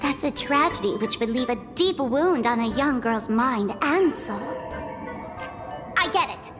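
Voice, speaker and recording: A playful, scheming young woman speaks high and theatrically, swooping teasingly through her lines at an unhurried pace. It is video game acting with music playing behind her. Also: expressive. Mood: mock dramatic. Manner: theatrical, teasing, sing-song. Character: playful, scheming young woman trickster who feigns innocence.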